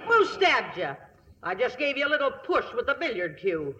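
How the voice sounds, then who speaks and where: An actress playing a brash, bossy, newly rich frontier matron with blunt folksy speech in a radio comedy. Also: female voice, on the high side, big pitch swings, normal speed, a bit bright, lo-fi sound, some chatter behind. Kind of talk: 1950s radio comedy acting. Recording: noisy vintage radio recording.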